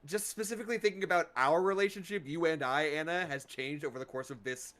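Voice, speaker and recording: A man with a fairly high voice and a sharp, bright edge talks casually. He is reflective and sincere, feeling his way haltingly through his thoughts. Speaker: cast member of an audio drama. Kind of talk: casual reflective panel chat.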